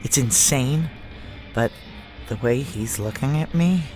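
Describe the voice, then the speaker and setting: A woman's voice: rumbling low, slow pace, a little mellow, faint music behind. An actress playing a tough, profane female security chief, narrating and acting in a noir audio drama in a wry, blunt, conversational way. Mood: wry, intimate.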